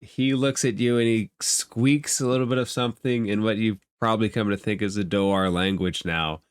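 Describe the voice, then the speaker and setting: A man's voice: fairly low pitch, steady tone, moderate pace, clean tone, no echo, clean studio sound. A man working as game master, narrating a tabletop game off the cuff in a conversational, explanatory way.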